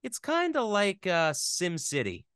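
A man speaking as an interview guest in casual chat, thoughtful and often halting as he searches for words. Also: high voice, huge pitch swings, fairly bright tone, clear, resonant tone. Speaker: interview guest, video game voice actor.

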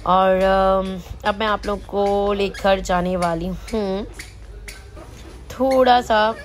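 A woman's voice: mid-range voice, steady tone, drawn-out words. A woman vlogger casually narrating a walk through a big supermarket, chatting easily and reading out prices as she goes. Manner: chatty, mixes English words and prices into her talk. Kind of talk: casual shopping vlog narration. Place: large supermarket, indoors.

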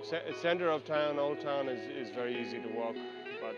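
A man from Australia giving a casual street interview, halting and restarting as he talks. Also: medium pitch. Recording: dull sound over loud music.

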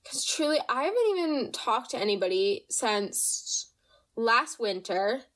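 Young woman, podcast host, slightly nasal, chatty storytime tone, higher voice. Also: a little slow. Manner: chatty, animated, reads listener stories aloud. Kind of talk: casual storytime chat.

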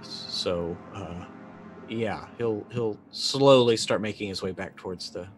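A man in his forties playing a tabletop roleplaying game, casually narrating his character's actions and thinking aloud haltingly. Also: lowish voice, soft background music, poor audio. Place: indoor room, online video call.

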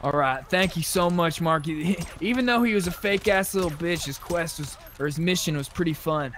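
A man's voice: fairly high pitch, normal speed, a bit bright. A man chatting casually as a player in an online roleplay game. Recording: faint background noise, game vehicle sounds.

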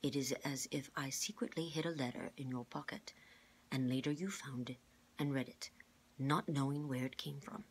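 A woman voicing a grave, patient male scientist in a storybook, explaining in measured, formal words. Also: rumbling low, husky, low-quality recording.

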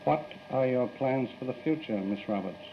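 Gravelly male 1930s newsreel interviewer. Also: mid-range voice, normal speed. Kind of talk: formal, stilted interviewing. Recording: muffled noisy 1930s newsreel soundtrack.

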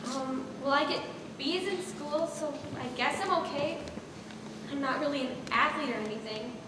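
A woman's voice: high voice, moderate pace, natural voice. A teenage girl playing an insecure, self-doubting schoolgirl in an amateur stage play, recorded from the audience. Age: teens. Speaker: high school student actor. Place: theater stage in large auditorium. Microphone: far camera in audience. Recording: echoey auditorium, distant camera, noisy room.

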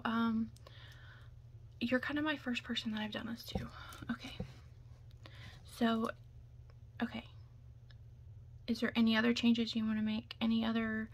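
Young woman for ASMR roleplay: breathy, near-whispered, fairly high voice, reassuring chat, noisy background. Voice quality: soft, breathy, often dropping into whisper. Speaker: ASMR roleplayer playing a makeup artist. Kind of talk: ASMR soft-spoken roleplay. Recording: clear background noise.

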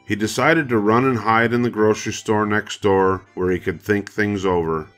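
A man's voice: fairly low pitch, steady tone, normal speed, a bit bright, faint music behind. An older man narrating a children's story from a script. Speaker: storybook reader.

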